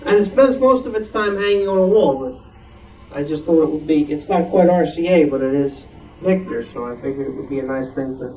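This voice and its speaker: A man's voice: fairly high pitch, lively intonation, a little fast, a little mellow, soft background music. A man giving a casual show-and-tell talk, storytelling with repeated and rephrased words. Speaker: club member presenting a collectible. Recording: distant room mic, faint noise.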